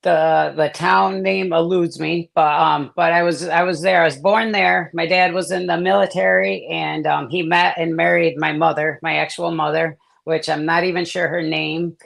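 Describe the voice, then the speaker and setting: Gravelly-voiced man sharing a rambling testimony. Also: a little high, fairly even intonation, moderate pace, few pauses, a bit bright. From born in South Korea, raised in the US. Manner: rambling, many fillers and restarts. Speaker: guest. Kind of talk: casual testimony on a live stream.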